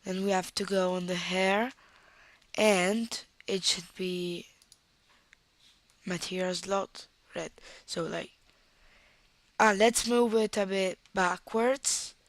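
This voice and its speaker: A young Italian woman with an Italian accent and a cold, talking through a screen-recorded software tutorial and thinking aloud as she clicks, at a desktop mic. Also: mid-range voice, steady tone, slow pace, pauses often. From Italy. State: has a cold.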